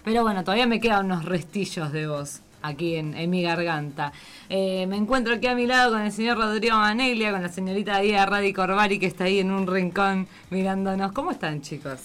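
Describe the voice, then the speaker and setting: A hoarse woman hosting a casual radio chat, chatty and playful, laughing often. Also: mid-range voice, brisk, fairly bright tone. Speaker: radio host.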